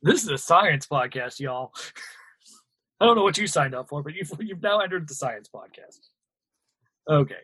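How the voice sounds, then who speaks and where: A man riffs on a casual podcast, crude and joking. His voice is breathy and husky. His pitch moves around a lot as he rambles through the facts. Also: animated, a little slow, pauses often, bright, forceful tone. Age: 30s. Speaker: podcast co-host.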